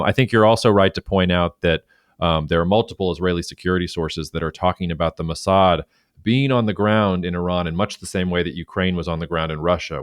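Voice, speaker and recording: A man's voice: sits low, no echo, clean studio sound. A man co-hosting a podcast, talking through news in a loose, chatty way with fillers and restarts. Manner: talkative, many fillers and restarts, animated when quoting. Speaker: podcast co-host. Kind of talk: casual current-affairs discussion.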